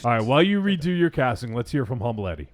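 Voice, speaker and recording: A man's voice: medium pitch, big pitch swings, racing, dry recording. A man co-hosting a podcast, teasing his friends and laughing often in casual banter. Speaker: podcast host. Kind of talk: casual banter among co-hosts.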